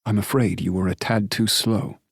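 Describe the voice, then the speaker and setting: A deadpan, eerily polished older butler with an old-world accent, put on by a male narrator. He speaks in a raised, smooth register, cool and unhurried. The sound is dry and up close. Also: fairly low pitch, no echo, voice pushed up. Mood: cold.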